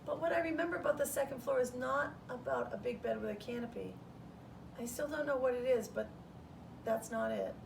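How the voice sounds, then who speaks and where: A woman reads a first-person children's story expressively, like a primary teacher. Her mid-pitched voice has a creaky edge. The mic sits at a distance, and faint noise runs underneath. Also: a little fast. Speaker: third grade teacher reading aloud.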